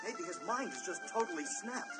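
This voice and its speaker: A steady young swamp guide. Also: male voice, high voice, brisk, over music. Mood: cautious. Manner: calm, commanding. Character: steady young man leading through swamp, protective.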